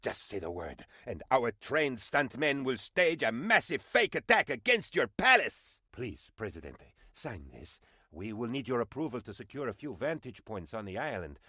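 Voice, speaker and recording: A super eager suck-up presidential aide for a funny game, melodramatic, voice bouncing all over, dry studio sound. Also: male voice, mid-range voice, moderate pace, slightly rough, dry recording, heavily acted. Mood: deferential. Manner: obsequious, excitable, melodramatic. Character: eager fawning male presidential aide in a Caribbean republic. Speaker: game advisor character. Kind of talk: theatrical comedic game voice acting.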